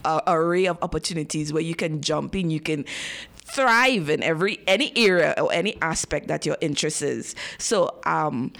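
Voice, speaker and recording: A woman from Trinidad, a guest on a radio show, explaining her views in a thoughtful and measured way. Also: low voice, expressive, creaky, a bit bright. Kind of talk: casual interview talk. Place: radio studio.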